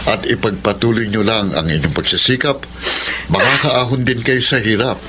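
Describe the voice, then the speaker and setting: A man's voice: fairly low pitch, moderate pace, natural voice. A man playing a kind, formal charity program host in a radio drama. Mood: reassuring. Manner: formal, reassuring.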